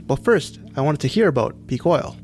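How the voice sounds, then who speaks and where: Low-voiced man narrating a podcast. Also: lively intonation, moderate pace, a little mellow, a little hiss or hum. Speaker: podcast host.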